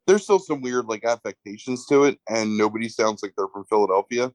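A young man, congested, describing a movie in a casual, halting way full of fillers. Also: lowish voice, no room sound, poor audio. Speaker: movie podcast co-host. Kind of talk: casual film review chat.

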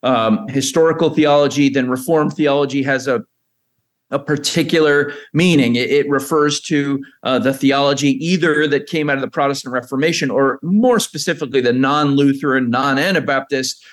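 A middle-aged man speaks as a podcast guest at an ordinary mid pitch. He explains things in a measured, careful way. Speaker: guest, seminary president and author. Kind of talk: podcast interview.

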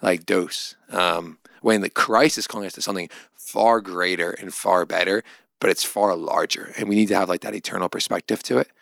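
Low-voiced man in casual faith discussion. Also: steady tone, normal speed, vocal fry, fairly bright tone, no echo, clean studio sound. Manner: conversational, explanatory, frequent "like". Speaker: podcast co-host.

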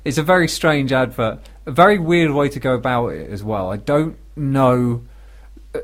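A man's voice: medium pitch, normal speed. A British man co-hosting a radio comedy show, offering dry, brief interjections in casual banter.

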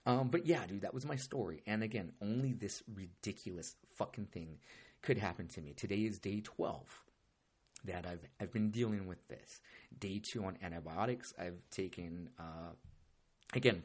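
A hoarse man with a low, husky voice rambles through a casual solo podcast. He swears freely and often stops to restart his sentences. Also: darkish tone. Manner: rambling and heavily profane, with frequent restarts. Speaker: podcast host.

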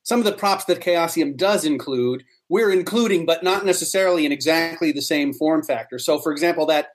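A man's voice: a little high, a little fast. A man talking casually as a guest in an interview. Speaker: interview guest, prop maker.

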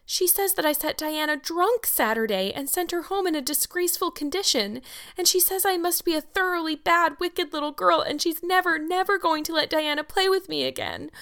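A woman's voice: sits high, animated, fairly quick, hardly any pauses, a bit bright, voice pushed up. A woman voicing an earnest, dramatic young girl who wails in flowery, tearful speech. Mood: wailing, tearful. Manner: melodramatic, flowery, wailing.

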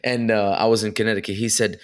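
A young man from Egypt and Dubai, an interview guest telling his story, energetic and chatty with many fillers. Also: lowish voice, quick pace. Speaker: guest, online seller. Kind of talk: casual interview chat.

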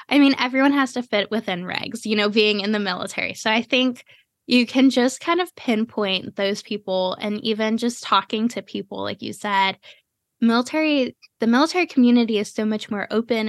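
A woman hosts a relaxed podcast conversation, talking fast and loosely with many fillers. Her voice sits at a middle pitch. The sound is dry and close, with no room around it. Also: no echo. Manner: chatty, quick, with frequent "you know" fillers. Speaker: podcast host. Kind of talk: casual interview chat.

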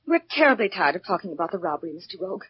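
An actress playing a defensive, impatient young heiress with clipped politeness in a 1940s radio drama. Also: female voice, lowish voice, big pitch swings, very fast, slightly rough, full character voice. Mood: irritated. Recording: muffled, noisy 1940s radio recording.

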